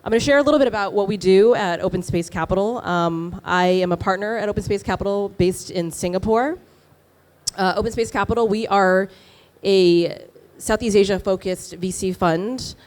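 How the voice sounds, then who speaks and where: Woman from Taiwan, fund partner, low-ish voice, fluent stage pitch with fillers. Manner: warm, fluent, frequent "um" fillers. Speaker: venture capital partner. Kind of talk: conference pitch presentation. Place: conference stage.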